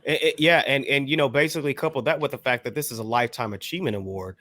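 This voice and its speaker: A man's voice: medium pitch, racing, fairly bright tone. A man talking casually in a panel debate, restarting phrases and often adding "you know". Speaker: panelist on a hip hop discussion show.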